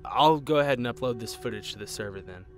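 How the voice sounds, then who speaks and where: An actor playing an uneasy, soft-hearted lab assistant and cameraman in an audio drama, hesitant and emotional. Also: mid-range voice, fast talker, faint music behind, natural voice. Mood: subdued.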